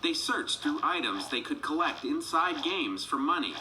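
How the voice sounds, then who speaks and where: A man narrating a children's read-along storybook in an animated, dramatic storytelling manner. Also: on the high side, normal speed, a little hiss or hum. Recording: music behind the voice.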